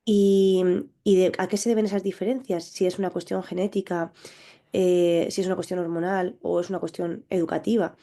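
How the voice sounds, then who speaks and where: Mellow-voiced woman sexologist explaining calmly. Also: lowish voice. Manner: measured, thoughtful, with small restarts. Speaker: clinical sexologist guest. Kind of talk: explanatory interview answers.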